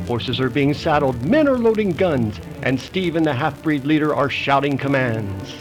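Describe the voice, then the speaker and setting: A man's voice: medium pitch, lively intonation, normal speed, lightly acted, rough recording. Dramatic radio narrator played by a man over music. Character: dramatic old-time radio announcer.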